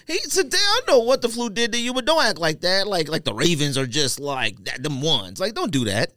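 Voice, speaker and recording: A man's voice: a little high, sing-song, moderate pace, sharp tone, no echo, clean studio sound. A man co-hosting a sports podcast, arguing casually and repeating his phrases for emphasis.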